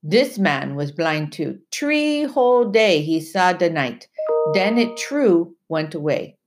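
A woman voicing a big, gentle old male sailor, speaking slowly in broken dialect. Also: on the low side, very animated, over music. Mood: storytelling. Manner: broken dialect, slow and soothing.

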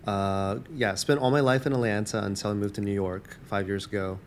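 A man's voice: on the low side, fairly even intonation, a little fast, a little hiss or hum. A man born in Taiwan, a campus minister, talking reflectively in an interview with many fillers and restarts.

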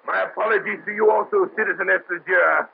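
Radio play part: a bossy revolutionary shouting down a crowd, high-ish pitch, lo-fi vintage recording. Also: male voice, fairly even intonation, fairly quick, creaky. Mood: commanding. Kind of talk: 1930s radio drama acting. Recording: muffled lo-fi old radio transfer with faint noise.

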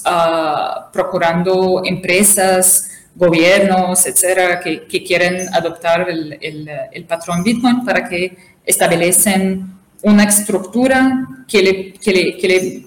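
A woman guest answers interview questions haltingly, filling her pauses with "ah" and starting her phrases over. Spanish is not her first language, and her voice rings in an echoey room. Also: fairly low pitch. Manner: halting, many fillers and restarts. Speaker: interview guest, conference organizer.

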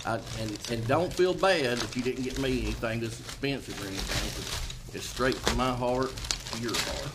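A man's voice: medium pitch, moderate pace, noisy background. A man, guest on a casual interview, telling a joking story with lots of laughter. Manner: animated, laughing, lively storyteller. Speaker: band member guest.

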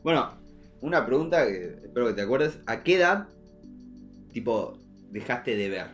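A man's voice: mid-range voice, sing-song, normal speed. Young Argentine man chatting over guitar music. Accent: Rioplatense Argentine. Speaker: podcast co-host. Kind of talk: casual podcast chat.

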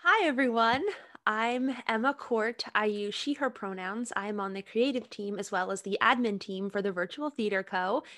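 Soft-spoken nineteen-year-old woman. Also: medium pitch, normal speed. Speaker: theater company team member.

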